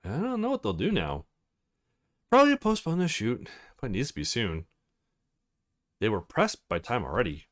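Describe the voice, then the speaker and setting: A man voicing a sweet, gently teasing young female model in a visual novel. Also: mid-range voice, huge pitch swings, moderate pace, long pauses. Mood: thoughtful.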